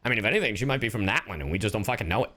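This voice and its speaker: A man's voice: on the low side, expressive, rapid-fire, bright, forceful tone. A man giving casual live-stream game commentary in a slangy, profane manner.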